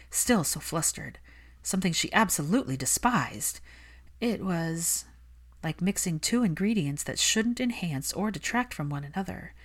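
A woman reading a story aloud as scripted narration, in an expressive manner. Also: on the low side. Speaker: fan fiction audiobook narrator.

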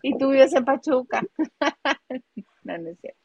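A woman's voice: high voice, animated, measured pace, creaky, darkish tone, slightly rough, no echo, lo-fi sound. A woman with a Mexican accent co-hosting a casual celebrity gossip live stream, playful and teasing as she talks and reads out viewer comments.

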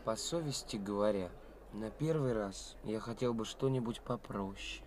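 An actor playing an ambitious, theatrical young stage actor in a 1980s radio play. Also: male voice, on the low side, moderate pace, slight background noise, natural voice. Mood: wry, confiding. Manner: theatrical, expressive.

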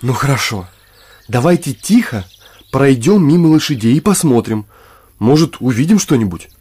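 An actor playing an eager, curious young man with a husky voice in an audio play. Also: mid-range voice, lively intonation, normal speed, warm tone. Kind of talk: Russian audio play acting.

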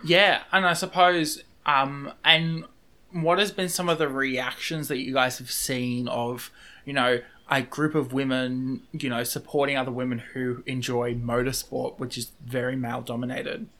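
A woman's voice: a bass voice, fairly even intonation, measured pace, a bit bright. A woman interviewing a group of guests, asking rambling, encouraging questions full of fillers. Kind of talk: casual interview.

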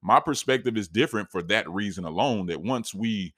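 A very deep-voiced man in his late thirties from North Carolina speaks in a loose, rambling, conversational way, full of restarts and asides. His voice is a little scratchy, and the sound is dry and close with no room echo. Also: expressive, fairly quick, a bit bright. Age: 30s. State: scratchy voice, sipping tea. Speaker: sports fan commentator. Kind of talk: casual monologue.

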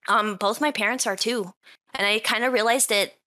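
A woman's voice: fairly high pitch, fairly even intonation, normal speed, sharp tone. A young woman with a Minnesotan accent, telling a personal story bluntly on a group voice chat, swearing often. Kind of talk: casual chat.

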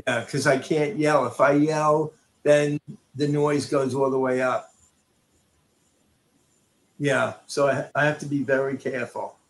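Soft-spoken man talking slowly and low. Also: level tone, low-quality recording. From New York and Connecticut. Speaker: psychic medium. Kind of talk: live online chat and readings.

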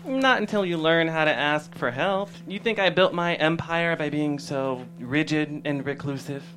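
A man's voice: fairly high pitch, moderate pace, a bit bright, soft background music, close to an everyday voice. A man playing a crude, sarcastic tough-love gym boss at a sitcom table read. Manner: sarcastic, profane, hectoring.

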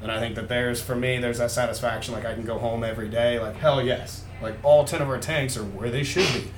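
A man's voice: medium pitch, steady tone, brisk. Male brewer, earnest and chatty, recorded in an echoey room with audible background noise. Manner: earnest, laughs easily. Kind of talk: casual interview answers. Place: brewery taproom.

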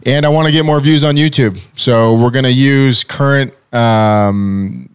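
A man's voice: medium pitch, measured pace, smooth, no echo. Big-voiced man hosting a podcast. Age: 40s. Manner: loud, energetic, joking, with fillers. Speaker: podcast host. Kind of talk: casual podcast chat. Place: podcast studio. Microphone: studio mic with headphones.

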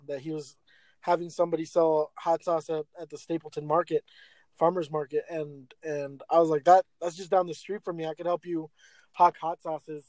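A man's voice: on the high side, a little mellow, dry recording, poor audio. A man chatting as an interview guest, casual and filler-heavy, saying "like" and "man" a lot. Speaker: guest, friend helping the business.

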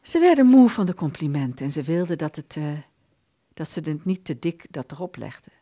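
A woman reading literary narration for a radio play in an expressive storytelling manner. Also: sits low, normal speed.

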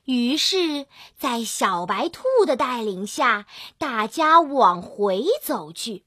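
A woman storyteller narrating a scripted fairy tale for young children in an expressive, drawn-out manner. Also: fairly high pitch, slightly rough, no room sound, clean studio sound. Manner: expressive, drawn-out storytelling with gentle sentence particles. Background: children's music.

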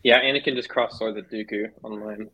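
A man's voice: fairly low pitch, fairly even intonation, brisk, highs cut off. A man offering casual film commentary as a podcast panelist.

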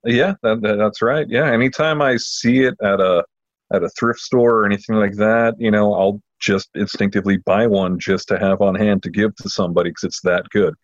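A man with a fairly low voice talks as a podcast host in a casual, enthusiastic way. He stops and restarts his phrases often. The recording is slightly dull and lo-fi, with room echo around him. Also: fairly even intonation. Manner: enthusiastic, halting delivery with repeated words. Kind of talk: casual chat. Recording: muffled lo-fi audio in a roomy space.

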